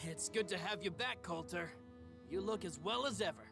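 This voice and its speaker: An actor playing a calm, courteous and considerate man in a video game. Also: high voice, normal speed. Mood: welcoming. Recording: game music clearly under the voice.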